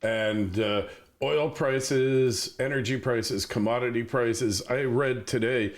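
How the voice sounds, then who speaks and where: A man's voice: medium pitch, slow. A man hosting a podcast, talking casually as he interviews a guest about the news. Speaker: podcast host.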